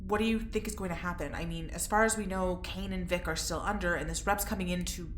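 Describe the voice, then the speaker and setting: An actress playing a wry, anxious, plain-spoken test subject, casual and skeptical, in a naturalistic audio drama. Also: female voice, on the low side, steady tone, fast talker, roomy sound, a little hiss or hum, close to an everyday voice. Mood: skeptical, uneasy.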